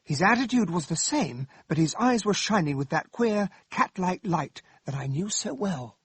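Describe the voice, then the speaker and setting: An actor playing a loyal, earnest English gentleman sidekick who also narrates a radio drama in a polite, plain-spoken way. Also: high-pitched, animated, moderate pace, husky, dry recording, full character voice. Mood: hushed narration.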